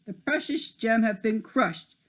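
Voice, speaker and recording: A man's voice: extremely high pitch, a little slow, fairly bright tone. A man reading a children's story aloud as narrator, now and then stumbling over a word and rereading it. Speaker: storybook reader.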